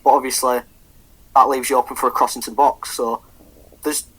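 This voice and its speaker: Husky young man, sweary casual chat. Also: mid-range voice, level tone, fairly quick, a bit bright, poor audio. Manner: swears often, many ums and restarts. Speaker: podcast co-host.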